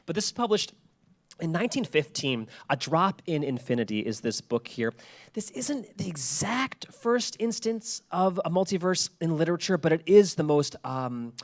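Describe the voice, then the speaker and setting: A man's voice: a little high, sing-song, normal speed. Chatty man presenting an informal lecture. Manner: chatty, colloquial storytelling with asides. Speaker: presenter at a public talk. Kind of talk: informal public lecture with slides.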